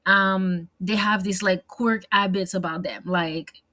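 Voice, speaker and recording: A young woman with a French accent talks straight to the camera in a long personal monologue. Her pitch sits in the middle range for a woman. She rambles, repeats words and leans on fillers, now and then slipping into French. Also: steady tone, slow, bright, forceful tone. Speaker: vlogger.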